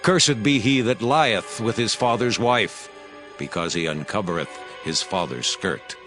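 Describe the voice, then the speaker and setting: A man's voice: fairly low pitch, animated, unhurried, music in the background. An actor playing a stern Levite priest pronouncing curses in a declamatory, dramatized King James Bible reading. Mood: stern condemnation.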